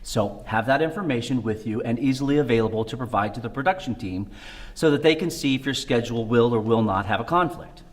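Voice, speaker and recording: A man's voice: mid-range voice, fast talker. A man with a Midwestern American accent, a co-host reading out scripted audition tips in short, list-like steps. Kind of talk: scripted instructional talk.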